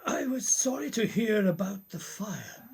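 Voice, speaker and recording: Man acting a husky, courteous older professor. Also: high voice, lively intonation, full character voice. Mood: courteous, concerned. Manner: formal, measured old-world courtesy. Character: courteous older male professor, genial and probing. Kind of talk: radio play acting.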